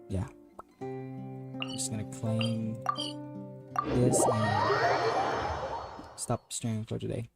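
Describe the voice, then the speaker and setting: A game streamer chatting casually in a halting way, muttering to themselves. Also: mid-range voice, gravelly. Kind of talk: casual livestream chat. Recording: loud game music behind. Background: game music.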